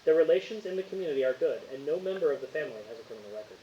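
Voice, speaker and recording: A man's voice: on the high side, expressive, very fast, mellow. A man acting as game master, reading out case details and refereeing a tabletop roleplaying game. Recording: muffled, hissy, roomy home recording.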